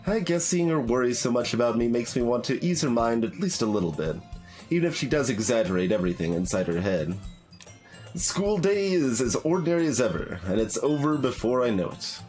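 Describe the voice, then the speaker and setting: Male let's play streamer, lively mid-pitched delivery, wry and halting, reading on-screen story with music behind. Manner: hesitant, wry. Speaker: video game streamer. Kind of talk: casual let's play, reading game text aloud.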